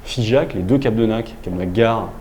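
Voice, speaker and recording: Male local official, low and mellow, measured explainer with hesitant pauses, noisy recording. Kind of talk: explanatory public presentation.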